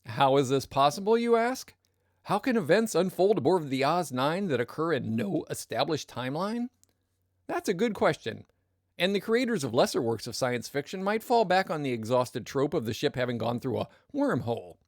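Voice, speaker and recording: A man's voice: fairly high pitch, very animated, moderate pace, no room sound, studio quality. A man acting a pompous, wordy, self-satisfied narrator of a sci-fi comedy audio drama. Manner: pompous, verbose.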